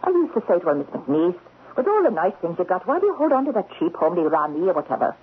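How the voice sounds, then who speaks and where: An actress playing a talkative, blunt, folksy housekeeper in a radio mystery drama. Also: female voice, lowish voice, sing-song, quick pace. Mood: gossipy. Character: chatty, blunt housekeeper with a gossipy streak. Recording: muffled, noisy old radio broadcast.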